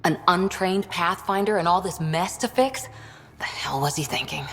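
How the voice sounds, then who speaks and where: A woman's voice: lowish voice, normal speed, a bit bright, in a large hall, lightly acted. A woman playing a guarded, proud female soldier and second-in-command in a video game, speaking in a blunt, dry manner. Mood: resentful, resigned. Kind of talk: video game dialogue acting.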